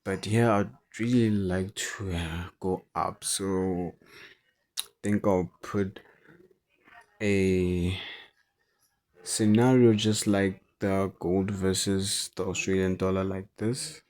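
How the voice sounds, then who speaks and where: A man who is not a native English speaker, talking through forex charts in a halting way and repeating words as he goes. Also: low voice, steady tone, darkish tone. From South Africa. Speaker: forex trader. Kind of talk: chart analysis commentary.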